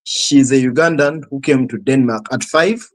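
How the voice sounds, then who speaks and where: A man's voice: mid-range voice, normal speed. A man hosting a panel interview, asking long, rambling questions with many hesitations and restarts. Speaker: interview host.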